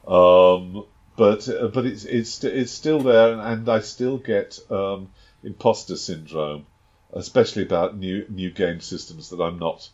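A man with a fairly low voice chats casually as a game master, stumbling over restarts and fillers. His humour is dry and self-deprecating. The recording sounds slightly dull and lo-fi. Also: measured pace, darkish tone. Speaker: game master and podcast co-host. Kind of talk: casual chat and tabletop game setup.

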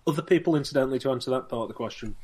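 A man's voice: medium pitch, racing, a little mellow, husky. A man, narrating as game master, hesitant and full of ums and you-knows.

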